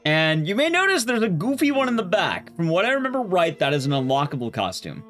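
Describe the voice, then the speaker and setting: A man's voice: high voice, wildly swooping pitch, fast talker, a bit bright, faint music behind. A man livestreaming a game and chatting casually with viewers, rambling and restarting his sentences as he goes. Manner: rambling, often restarting sentences mid-thought.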